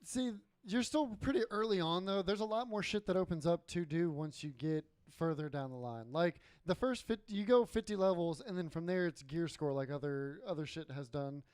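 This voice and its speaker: A man's voice: fairly high pitch, normal speed, few pauses, a little mellow, clear, resonant tone, dry recording. A man co-hosting a casual gaming podcast, explaining things informally with some swearing. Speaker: podcast co-host.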